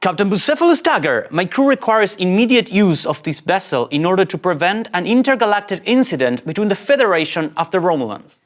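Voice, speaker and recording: An actor voices a young male ensign in a sci-fi comedy table read. The delivery is highly animated, swinging between forced captainly bravado and halting self-doubt. He is easily flustered and keeps second-guessing himself. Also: lightly acted. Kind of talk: table read of comic sci-fi game script.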